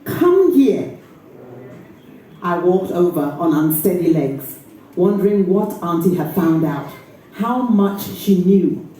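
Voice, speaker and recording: Lady actor reading a novel out loud, slow and expressive, mellow voice, really echoey room. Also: fairly low pitch, recorded from a distance. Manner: expressive, deliberate. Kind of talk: dramatic literary reading. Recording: very echoey room, faint noise.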